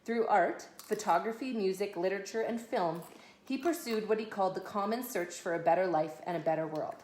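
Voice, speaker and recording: A woman's voice: medium pitch, brisk, darkish tone. A mother reading a children's biography aloud at home, with casual asides to her kids. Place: echoey room at home. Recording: echoey room, faint background noise.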